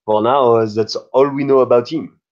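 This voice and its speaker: A man improvising in character as a player in a mystery game, speaking very haltingly with many hesitations. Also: medium pitch, fairly even intonation, darkish tone, highs cut off.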